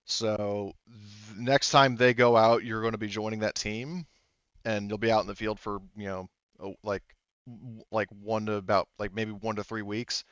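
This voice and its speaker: Male game master, low smooth voice, dry slightly dull recording, narrating tabletop play with hesitant detours. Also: steady tone, moderate pace, fairly bright tone, no echo. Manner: rambling and hesitant, often backtracking.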